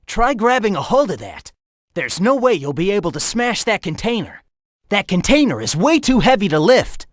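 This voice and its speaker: A man's voice: high-pitched, a bit bright, dry recording, studio quality. An actor voicing a cocky, upbeat young male hedgehog hero in a video game, giving breezy, encouraging hints.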